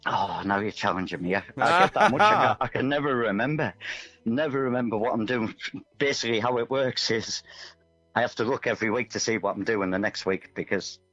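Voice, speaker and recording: A man's voice: mid-range voice, expressive, a little fast, a bit bright. A man chats casually as a musician guest, in a rambling, self-deprecating way. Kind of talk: casual radio interview chat. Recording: dull, muffled audio over music.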